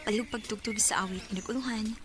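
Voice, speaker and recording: A woman's voice: fairly high pitch, fairly even intonation, fast talker, lightly acted. A woman playing an earnest young daughter in a Bisaya radio drama, with a Cebuano Filipino accent. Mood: soft, sincere. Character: earnest young daughter, female letter sender Honey.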